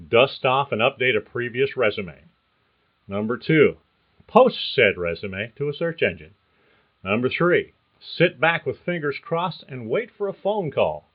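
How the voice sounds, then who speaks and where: Male host reading career advice from a script, measured and explanatory; thin, phone-like recording. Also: medium pitch. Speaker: podcast host. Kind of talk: scripted narration.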